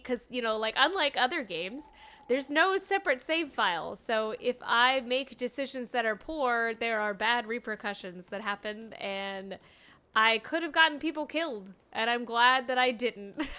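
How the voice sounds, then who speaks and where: A woman's voice: fairly high pitch, pushed and bright. A woman chatting casually and informally as she streams a game. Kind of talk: casual livestream chat. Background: game music.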